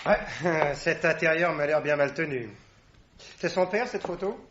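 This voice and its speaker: An actor playing a brusque police inspector who fires curt, pressing questions. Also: male voice, on the high side, measured pace, fairly bright tone, slight background noise. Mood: brisk. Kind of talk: radio drama acting. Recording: dull lo-fi sound.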